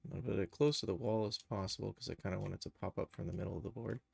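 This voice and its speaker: A man hosting a casual, unscripted software tutorial, thinking aloud as he explains each step. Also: fairly low pitch, fairly even intonation, racing, vocal fry, husky, dry recording. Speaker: live stream tutorial host, game and cartoon developer.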